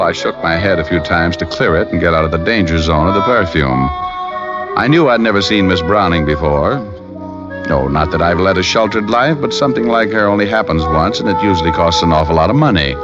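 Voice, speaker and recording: Wisecracking American private eye, low swooping voice, wry and flirty, vintage radio drama, muffled recording with music. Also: male voice. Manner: wry, glib. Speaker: actor playing the detective lead and narrator. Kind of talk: 1940s-50s radio detective acting, wry narration.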